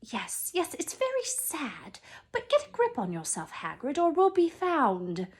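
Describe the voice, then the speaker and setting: Woman acting a stern, hushing lady professor, high-pitched. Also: wildly swooping pitch, a little slow, exaggerated voice. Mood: urgent, scolding. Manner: urgent scolding hiss. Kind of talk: storybook read-aloud character voice.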